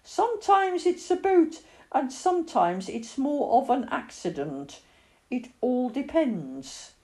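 A woman's voice: high-pitched, sing-song, slow pace, dark tone, voice pushed up, exaggerated voice. A woman voicing a proud, simple, earnest male toy bear with a growly voice in a children's storybook.

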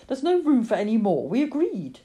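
A woman's voice: on the high side, huge pitch swings, a little fast, dark tone, pitched above the performer's own voice. A woman voicing a firm, exasperated farmhouse mother in a story, scolding sharply.

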